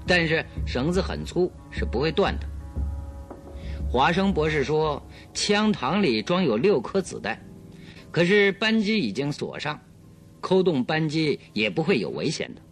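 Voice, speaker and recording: Confident, theatrical male detective, animated delivery. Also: fairly high pitch, measured pace, soft background music. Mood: deliberate. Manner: theatrical, explanatory, self-assured. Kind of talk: radio drama acting.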